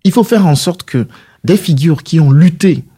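This is a man with a husky, slightly high voice, speaking as a guest in a political interview. He lays out his points in a structured, didactic way, using rhetorical questions. The tone of the voice is soft-edged and mellow. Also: expressive, moderate pace. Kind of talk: measured political interview answers.

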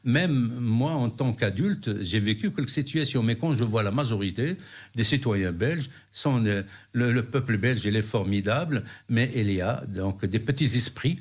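A man's voice: medium pitch, normal speed. A middle-aged man from Morocco, answering interview questions in a hesitant way, with many fillers and restarts. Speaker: guest.

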